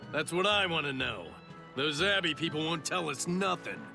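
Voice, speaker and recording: A grumbling sailor with a raised, gravelly voice full of creak. He is excitable and folksy, a working man from a video game, with music clearly playing behind. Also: on the high side, moderate pace. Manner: folksy, exclamatory.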